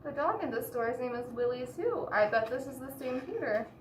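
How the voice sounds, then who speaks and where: A woman reading a picture book aloud expressively to children, pausing to explain and ask the listeners questions. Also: high voice, expressive. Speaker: children's story reader. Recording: roomy echo, distant mic, background hiss.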